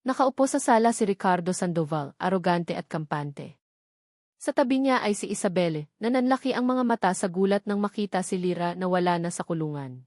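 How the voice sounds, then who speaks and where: A woman's voice: fairly low pitch, expressive, a little fast, a little mellow, no echo, clean studio sound. A woman reading a scripted dramatic story as its narrator.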